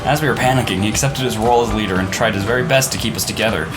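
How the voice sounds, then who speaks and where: A man's voice: fairly low pitch, racing, over music. A man playing through a video game as co-host, commenting casually and playfully and reading lines aloud.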